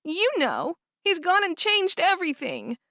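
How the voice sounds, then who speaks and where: A woman's voice: very high voice, huge pitch swings, a little slow, pushed and bright, dry recording, studio quality, pitched above the performer's own voice, full character voice. A woman reading aloud and voicing an upset, stubborn teenage farm girl who talks in a sulky, indignant way.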